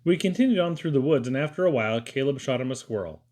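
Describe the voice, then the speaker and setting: Male audiobook reader, first-person narration, storybook style, medium pitch. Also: lively intonation, quick pace, a little mellow.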